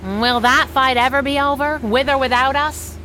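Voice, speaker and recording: An actress playing a fearless masked adventurer and loyal wife, wry, teasing and snappy, in a 1930s pulp radio drama style. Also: female voice, high-pitched, steady tone, normal speed, sharp tone, a little hiss or hum. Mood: thoughtful, playful.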